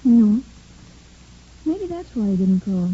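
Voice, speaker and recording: An actress playing a self-absorbed, dreamy dance hall singer in a 1950s American radio police drama, with a casual, wistful manner. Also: female voice, mid-range voice, very animated, takes it slowly, husky, exaggerated voice. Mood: uneasy, self-concerned. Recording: dull old radio recording, loud music behind. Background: instrumental music.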